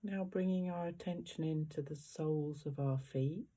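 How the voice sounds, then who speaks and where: A meditation guide giving gentle, unhurried guided instructions with long pauses between phrases. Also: mid-range voice.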